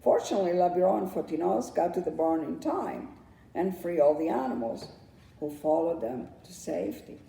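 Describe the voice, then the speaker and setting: A woman narrates a children's storybook in a very dark, mellow voice with some gravel in it. Her phrasing is dramatic and drawn out, with lively swings in pitch. She sounds set back from the microphone in an echoey room. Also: normal speed, a little hiss or hum. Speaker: children's author reading own book. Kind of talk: storybook read-aloud narration. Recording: roomy echo, distant microphone.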